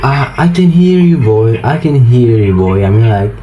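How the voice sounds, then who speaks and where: An Indonesian man with an Indonesian accent chats casually for his language-learning vlog in halting learner English. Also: mid-range voice, lo-fi sound. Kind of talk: casual vlog and video-call chat. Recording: some steady background noise.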